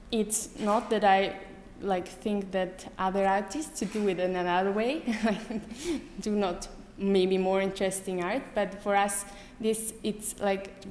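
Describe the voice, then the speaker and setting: A woman in her thirties with a German accent, giving a live lecture to an audience, pausing often with many ums and restarts. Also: medium pitch, a little mellow. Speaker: artist giving a talk. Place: lecture hall. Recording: echoey hall with faint room noise.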